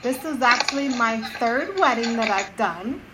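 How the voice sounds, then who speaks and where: A woman's voice: a little high, lively intonation, normal speed, very noisy. A woman co-hosting a podcast, telling a story in casual chat with friends and laughing often. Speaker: podcast co-host and notary.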